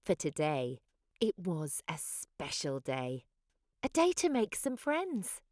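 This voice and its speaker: A woman's voice: low-pitched, huge pitch swings, slow pace, a little mellow, no room sound, clean studio sound. A woman reading a children's picture book aloud in a dramatic, sing-song rhyming delivery. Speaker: children's story reader.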